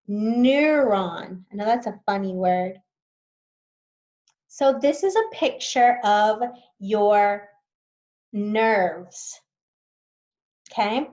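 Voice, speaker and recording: Brief: a patient woman teacher who reads slowly and stops to explain words, over a muffled home mic. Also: fairly high pitch, a little mellow. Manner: slow, patient, explains words as she goes. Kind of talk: reading a science book aloud to students.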